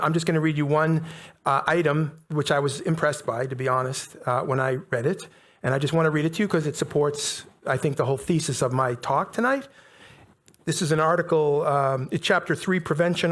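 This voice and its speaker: Middle-aged North American man lecturing a live audience, fairly high voice, conversational, emphatic, leans on key words. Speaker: speaker giving a public talk.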